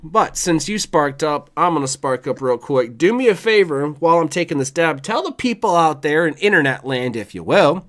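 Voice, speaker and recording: A man with a slightly hoarse voice hosting a casual chat show, rambling in a laid-back, slangy and sometimes profane way. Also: on the high side, expressive, normal speed, hardly any pauses, a bit bright. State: hoarse from voice work. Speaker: show host.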